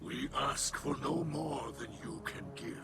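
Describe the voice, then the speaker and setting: An actor playing a gracious, weary alien refugee leader who speaks formally in a breathy rasp. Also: male voice, medium pitch, over loud music, lightly acted. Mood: humble, guarded. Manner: formal, measured.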